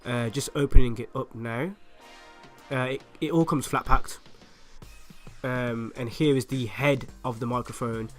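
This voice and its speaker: Male vlogger, raspy and hoarse from a flu, casual upbeat talk to camera, mid pitch, home desk mic with faint music under. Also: steady tone, measured pace, long pauses. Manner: chatty, upbeat, direct to viewers. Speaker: YouTube channel host. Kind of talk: casual product review and unboxing. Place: at home, indoors. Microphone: USB desktop microphone.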